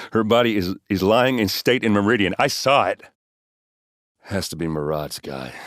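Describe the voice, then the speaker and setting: A gruff, loyal soldier from a video game, with a deep voice and a blunt, informal way of talking. He is brash and wry, with grief under the bluster. The sound is dry, with no room on it. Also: no echo. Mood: troubled, grieving.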